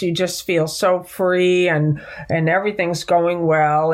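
A woman co-hosting a talk show, earnestly telling an anecdote in casual conversation. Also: lowish voice. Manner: earnest, tells anecdotes with acted-out quotes. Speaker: podcast co-host. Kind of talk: conversational storytelling.

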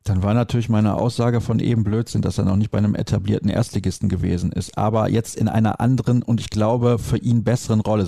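A man's voice: lowish voice, creaky, dark tone, no echo, clean studio sound. A man hosting a conversational sports discussion, stating his opinions and readily correcting himself. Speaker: sports talk host.

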